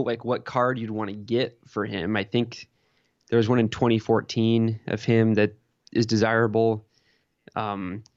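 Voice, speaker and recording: Male co-host, lower-pitched, informal sports podcast chat, dry but slightly dull audio. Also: no echo. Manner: informal, conversational. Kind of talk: casual sports and hobby chat.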